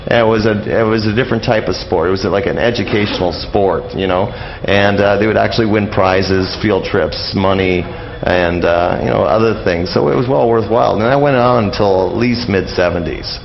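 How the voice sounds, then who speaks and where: Halting older man, creaky, dull lo-fi audio. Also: fairly low pitch, noisy background. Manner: halting, hesitant, rambling. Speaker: radio show presenter, interview guest. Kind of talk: casual radio interview. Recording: dull lo-fi radio interview audio.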